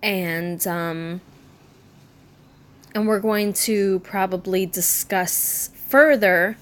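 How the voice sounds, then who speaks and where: A woman in her mid-thirties, speaking candidly in a personal vlog, subdued and halting, with frequent ums and restarts. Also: medium pitch, a bit bright. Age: 30s. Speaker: vlogger. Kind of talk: personal vlog talking to camera.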